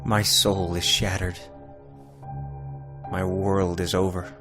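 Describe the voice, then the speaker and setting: A man's voice: sits low, level tone, slow pace. A man narrating a first-person horror story in a subdued, somber, despairing way. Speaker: creepypasta narrator. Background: music.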